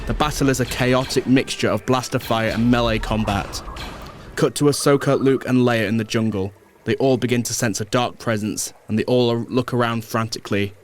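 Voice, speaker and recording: A man's voice: mid-range voice, steady tone, normal speed. A man reading scripted action narration as the storyteller of an audio drama.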